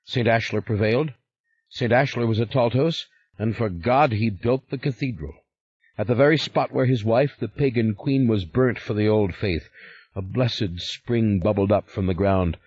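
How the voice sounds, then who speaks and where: A man's voice: fairly low pitch, normal speed, a little mellow, husky, voice pushed up. A man voicing a stern, devout older father who speaks in a commanding, solemn way. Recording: slightly muffled recording.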